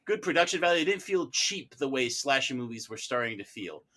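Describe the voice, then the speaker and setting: A man with a very bright, punchy voice argues about movies as a podcast panelist. He is animated and emphatic, often repeating phrases to drive them home. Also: mid-range voice, brisk. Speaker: panelist on a mock-trial film podcast. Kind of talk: casual film debate.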